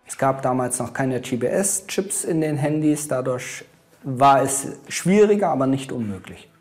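A man's voice: mid-range voice, expressive, unhurried, darkish tone, faint music behind. A man explains technical details as an expert in a documentary voice-over.

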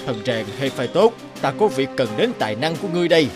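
A man's voice: medium pitch, big pitch swings, quick pace, over music, close to an everyday voice. A man voicing a stern, scheming ruler of the gods in a fairy-tale cartoon, with an imperious, declamatory delivery. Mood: commanding. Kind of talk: animated fairy-tale dubbing.

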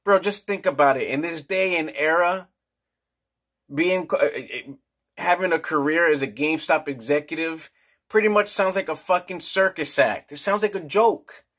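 A man's voice: fairly high pitch, moderate pace, fairly bright tone. A man in his forties giving a casual, crude and rambling rant in a small indoor office. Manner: brash, crude, slangy, rambling. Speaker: vlogger.

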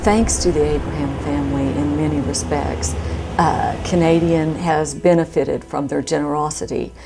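A middle-aged woman giving measured interview remarks as a local resident, on a lapel mic in an office. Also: deep voice, steady tone, vocal fry, noisy background. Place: indoors in a small office.

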